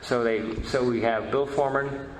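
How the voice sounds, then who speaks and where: A man giving an informal history lecture, haltingly, often repeating and rephrasing his words. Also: mid-range voice, steady tone. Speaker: presenter, media researcher. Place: indoor presentation room. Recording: echoey room, faint background noise.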